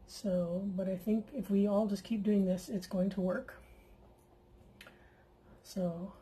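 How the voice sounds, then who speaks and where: Lady chatting thru a paint pour at home, mellow husky voice, bit of filler as she goes. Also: medium pitch, rough recording. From Canada. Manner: chatty and relaxed, with fillers and asides. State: feels she is losing her voice. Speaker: acrylic pouring art channel host. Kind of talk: casual tutorial chat while painting. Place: home craft table, indoors.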